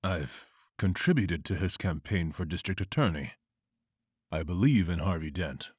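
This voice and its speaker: An actor playing a brooding wealthy vigilante in an animated comic, husky, measured and formal. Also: male voice, sits low, no room sound, studio quality. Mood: polite, reserved. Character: brooding, reserved wealthy vigilante in his prime.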